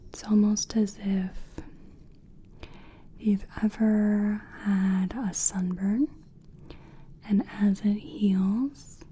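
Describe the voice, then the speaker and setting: A woman giving a soothing, gentle ASMR personal attention roleplay in a breathy whisper, speaking deliberately. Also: medium pitch. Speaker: ASMR creator playing a soul-cleansing practitioner.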